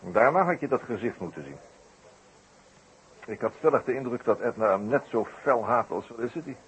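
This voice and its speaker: A man's voice: mid-range voice, animated, brisk, close to an everyday voice. A man playing a shrewd detective in a 1960s Dutch radio drama, musing in a wordy, hesitant, drily ironic way. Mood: probing, ironic. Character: shrewd, wry male detective, musing and deliberate. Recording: noisy old 1960s radio recording.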